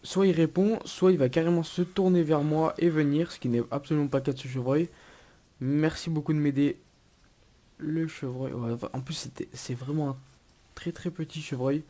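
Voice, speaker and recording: A man's voice: a little high, brisk, mellow. Hesitant young man with a cold. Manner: hesitant, many fillers and restarts. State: has a cold. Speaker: gaming YouTuber. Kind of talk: casual gameplay tutorial commentary.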